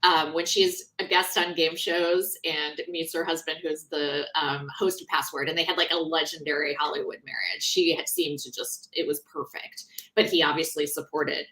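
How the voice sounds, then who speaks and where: A woman author being interviewed in a casual book discussion, talking in an animated, conversational way and often breaking off mid-thought. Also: sits low, moderate pace, low-quality recording.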